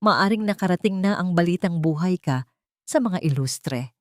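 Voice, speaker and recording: A woman voicing a gentle, protective male executive in a narrated love drama, soft-spoken and earnest. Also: fairly low pitch, animated, normal speed, dry recording, studio quality, close to an everyday voice. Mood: alarmed, protective. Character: gentle, protective man, admiring and serious executive.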